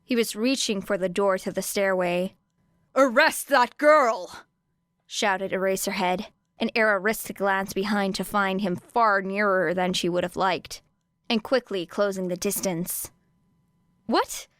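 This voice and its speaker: A woman's voice: medium pitch, steady tone, moderate pace, clear, resonant tone, dry recording, clean studio sound. A woman reading a scripted story as an audiobook narrator.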